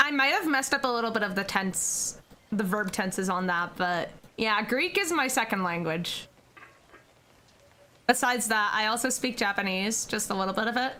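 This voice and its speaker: A woman's voice: mid-range voice, expressive, unhurried, pauses often, pushed and bright. A young American woman streaming, chatting casually with her viewers and giggling often. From United States. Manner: giggly, chatty, answers chat questions.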